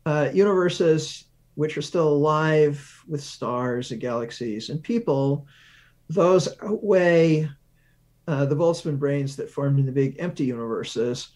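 A man giving a measured, deliberate explanation as an expert guest in a science interview, stressing key words. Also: fairly high pitch, darkish tone. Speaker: guest research professor, physicist.

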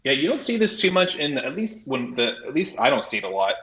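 A man talking casually as a technical podcast guest, halting and often correcting himself as he explains. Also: sing-song, a bit bright. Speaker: podcast guest, software developer. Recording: roomy, slightly dull low-fidelity audio.

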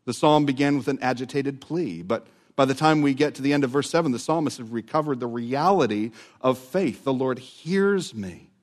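Man preaching, swinging loud to hushed. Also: mid-range voice. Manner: dramatic swings from loud to hushed, drawn-out words. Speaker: pastor. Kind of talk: sermon.

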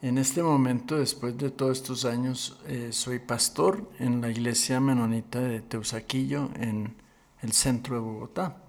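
An older man, sixties or above, telling his story as a pastor and interview guest in a measured, deliberate way with frequent pauses. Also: mid-range voice, fairly even intonation, gravelly. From Colombia. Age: 60s or older.

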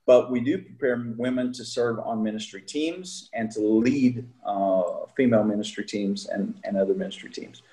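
A middle-aged man, a seminary teacher, talking casually in an interview with frequent pauses and fillers. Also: on the low side, dark tone, rough recording. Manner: halting, many fillers and restarts.

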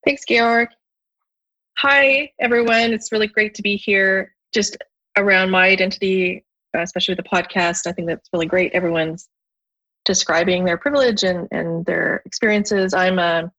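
A woman's voice: mid-range voice, steady tone, moderate pace, bright, forceful tone, highs cut off. Canadian woman, thoughtful podcast guest. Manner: thoughtful, with frequent restarts and fillers. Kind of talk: conversational interview.

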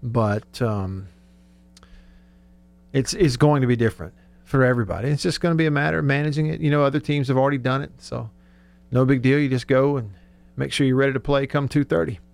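A man's voice: mid-range voice, fairly even intonation, fairly quick, creaky. Man hosting sports talk radio. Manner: conversational, thinking aloud. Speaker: sports radio show host.

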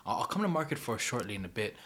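A man's voice: on the low side, big pitch swings, rapid-fire, a bit bright, husky. A man conducting a casual interview, circling into his questions and rephrasing them as he goes. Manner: frequent short acknowledgements, rephrased questions.

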